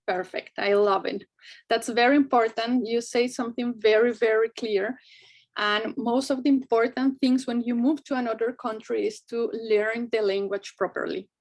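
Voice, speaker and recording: Colombian woman, 30s, native Spanish, slight German edge, higher voice, cheerful and chatty guest. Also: steady tone, moderate pace. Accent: Colombian Spanish, some German. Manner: cheerful, chatty, searches for words. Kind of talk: video call interview. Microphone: video call webcam.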